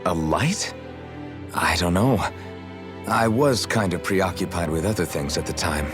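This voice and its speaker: A man playing an earnest, protective young swordsman in a video game, speaking haltingly and anxiously. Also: low voice, music in the background. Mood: puzzled. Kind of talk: video game cutscene voice acting.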